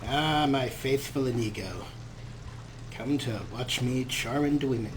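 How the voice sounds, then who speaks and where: A man's voice: medium pitch, unhurried, noisy background. A man hosting a let's play with wry, amused, casual commentary.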